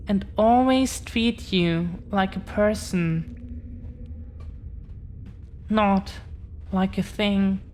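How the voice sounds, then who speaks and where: A woman plays a dragon-blooded girlfriend in an intimate audio drama, tender and possessive. Her delivery is extremely slow, earnest and hesitant, in a slightly low-key, affectionate manner. Some faint noise sits behind the voice. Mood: earnest, devoted. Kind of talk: intimate scripted audio roleplay.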